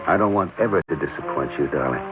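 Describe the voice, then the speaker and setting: A man acting in an old-time radio drama, playing a nervous, haunted young man with a halting, stammering delivery. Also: a bass voice, lively intonation. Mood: tender. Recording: dull vintage radio recording, music behind.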